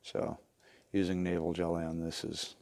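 A man in his fifties giving a casual, plainspoken, step-by-step repair tutorial at an unhurried, instructional gait. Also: sits low, level tone, vocal fry, background noise. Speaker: instructor demonstrating lantern repair. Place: indoor wooden workshop at a workbench.

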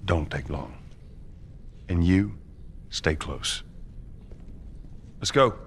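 A man playing a gruff, weary middle-aged smuggler in a game cutscene, terse and sardonic. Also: deep voice, extremely slow, a little hiss or hum. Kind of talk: video game cutscene acting.

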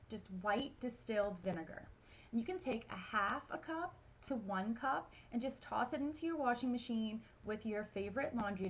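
A woman's voice: on the high side, low-quality recording. Chatty woman giving home tips. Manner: chatty, many ums and likes. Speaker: home wellness vlogger. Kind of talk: casual how-to tips to camera. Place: small room at home, indoors. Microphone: webcam at close range.

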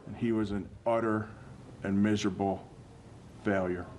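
Low, flat-toned American man. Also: normal speed. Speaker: district attorney. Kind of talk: press statement. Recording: faint ambient press conference noise.

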